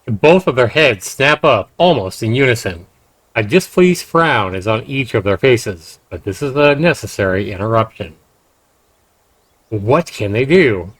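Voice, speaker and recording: A man's voice: mid-range voice, expressive, a little slow, fairly bright tone, slightly rough, no room sound. A man hosting a game livestream, reading narration aloud and dropping in casual comments. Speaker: livestream host.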